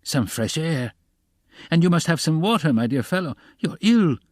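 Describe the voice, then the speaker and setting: A man's voice: medium pitch, big pitch swings, takes it slowly, a little mellow, dry recording, clean studio sound, pitched above the performer's own voice. A man voicing a wily middle-aged investigator in an audiobook, fussily solicitous and slyly mocking, with theatrical, coaxing exclamations. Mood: alarmed, fussing.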